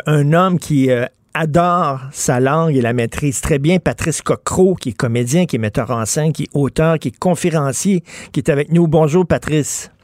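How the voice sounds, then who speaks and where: A man with a Quebec French accent hosting a radio talk show, quick and emphatic, repeating words as he builds his point. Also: a little high, a little mellow, no echo, clean studio sound. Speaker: radio host.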